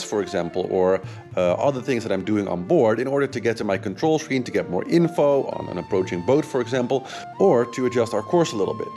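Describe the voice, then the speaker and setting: An excited man in his thirties talks through a sailing gadget on his boat outdoors, his voice sitting at an ordinary middle pitch. He stumbles over fillers as he goes, and music plays clearly underneath him. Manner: enthusiastic, with frequent fillers. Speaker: sailing vlogger and charter captain. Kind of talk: casual product review. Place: on a sailboat, outdoors.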